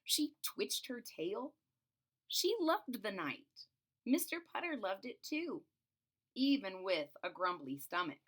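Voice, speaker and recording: A woman's voice: mid-range voice, very animated, slow, a bit bright. A woman with a mid-range voice reads a children's picture book aloud. She draws out phrases expressively for emphasis. Now and then she steps out of the text to explain things to her listeners as host. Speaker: storybook reader and channel host.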